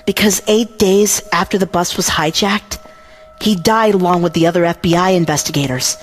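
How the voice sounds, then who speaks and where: A woman's voice: lowish voice, steady tone, moderate pace, a little hiss or hum, natural voice. A woman playing a serious, analytical young investigator in an anime dub, reasoning earnestly and carefully. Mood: grave, insistent. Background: background music.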